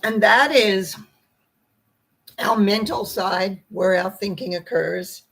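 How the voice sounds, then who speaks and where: An older woman with a hoarse, croaky voice, giving an informal spiritual talk to camera in a rambling, folksy way with asides. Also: mid-range voice, takes it slowly, fairly bright tone, a little hiss or hum. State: hoarse after a treatment, clearing throat. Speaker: host, tarot reader and spiritual teacher.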